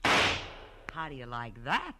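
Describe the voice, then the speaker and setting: Headstrong young rich girl, lively pitch swings, old radio play, bit noisy. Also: slow pace, heavily acted. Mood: defiant. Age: young adult. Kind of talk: 1940s radio drama acting.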